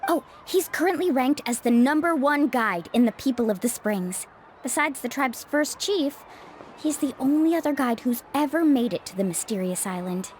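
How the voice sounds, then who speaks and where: A woman's voice: high-pitched, expressive, moderate pace, a little mellow, a little hiss or hum. A woman voicing an earnest young female guide who carefully explains her duties.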